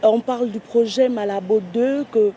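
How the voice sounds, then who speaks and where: A woman's voice: a little high, steady tone, moderate pace, dark tone, slight background noise. A woman hosting a television interview, asking polite, formal questions.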